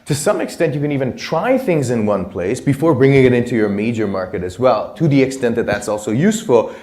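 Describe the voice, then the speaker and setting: A man's voice: mid-range voice, lively intonation, a little fast, a little mellow. A man moderating a conference panel, upbeat and affirming as he sums up and takes questions. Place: conference hall. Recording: echoey hall.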